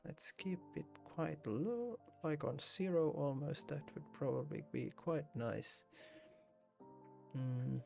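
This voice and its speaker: Creaky-voiced Finnish man chatting casually. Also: fairly high pitch, takes it slowly, warm tone, soft background music. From Finland. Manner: hesitant, repeats words, thinking aloud. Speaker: photography livestream host, street photographer. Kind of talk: casual chat while editing photos.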